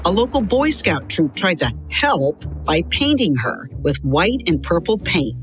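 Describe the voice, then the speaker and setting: A woman reading a scripted story for children in a measured, expressive storyteller's delivery. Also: fairly low pitch. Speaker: podcast narrator. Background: music under the voice.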